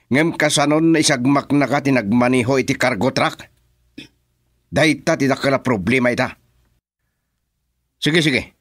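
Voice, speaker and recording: A man with an Ilocano accent playing a shrewd businessman boss in a radio drama, with a drawn-out, swooping delivery. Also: mid-range voice, fairly bright tone, dry recording, clean studio sound.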